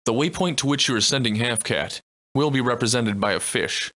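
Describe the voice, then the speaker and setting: A man plays a folksy game guide with a middle-pitched voice. He is easygoing and fond, giving tutorial instructions in measured, colloquial phrases. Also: sharp tone, lightly acted. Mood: fond, instructive. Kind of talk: video game tutorial narration.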